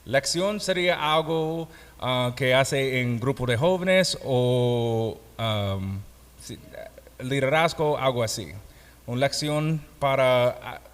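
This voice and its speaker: Male pastor-teacher, non-native speaker with foreign accent, unhurried and halting classroom delivery, reverberant hall recording. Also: mid-range voice. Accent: foreign, non-native Spanish. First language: not Spanish. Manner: halting, learner's grammar slips. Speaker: pastor and Bible course teacher. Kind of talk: classroom lecture, explaining a course. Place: classroom or hall with students present. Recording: echoey hall with long reverb.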